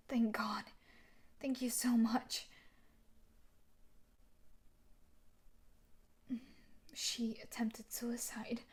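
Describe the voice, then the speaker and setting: A woman's voice: a little high, darkish tone, breathy edge, heavily acted. A woman playing a tough, sadistic, possessive bully fiancée in a dramatic audio roleplay, scolding and emotionally intense. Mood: anxious, relieved.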